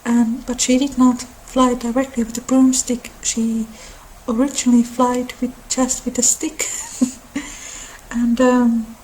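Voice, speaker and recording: Woman, soft-toned ASMR talk, slow and halting, relaxed timbre. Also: fairly high pitch, breathy edge, low-quality recording. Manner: unhurried, halting delivery. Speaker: video channel host. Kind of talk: ASMR-style informal mythology talk.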